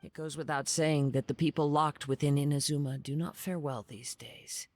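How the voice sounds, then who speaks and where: An actor playing a serene young wandering samurai in an anime-style video game, soft-spoken, formal and measured. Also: male voice, fairly high pitch, fairly even intonation, no room sound, natural voice. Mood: pensive.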